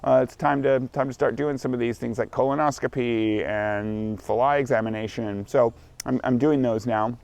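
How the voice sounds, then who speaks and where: A man's voice: medium pitch, steady tone, normal speed, vocal fry, darkish tone. Rambling candid man in his forties. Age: 40s. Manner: rambling, self-correcting, candid. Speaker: vlogger. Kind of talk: casual self-recorded vlog. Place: outdoors in morning sunshine.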